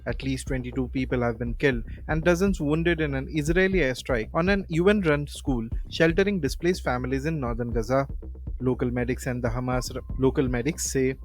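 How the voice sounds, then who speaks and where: A man's voice: mid-range voice, a little fast, faint music behind, low-quality recording. A man with an Indian accent reading scripted news bulletins as a radio presenter.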